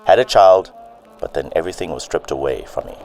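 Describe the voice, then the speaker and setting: An actor playing a cold, menacing police chief turned mob boss in a theatrical radio drama style. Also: male voice, sits low, expressive, brisk, vocal fry, music in the background, low-quality recording. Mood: cold, bitter.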